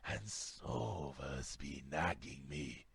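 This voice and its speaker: A man voicing a formal, deliberate guild gemologist and recruiter in a game, with a deep, gravelly voice. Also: animated, a bit bright, exaggerated voice. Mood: formal, questioning. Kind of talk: scripted game character dialogue.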